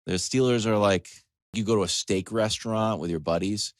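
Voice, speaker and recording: A man's voice: fairly low pitch, normal speed, no room sound, studio quality. A man hosting a sports talk show, delivering a comedic, conversational monologue with emphatic lists, joking asides and acted-out questions.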